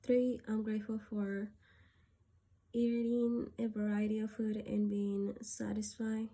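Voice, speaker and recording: Woman from Peru, soft-spoken, hesitant, slow, mellow tone. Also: mid-range voice, level tone. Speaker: vlogger. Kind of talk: gratitude list monologue.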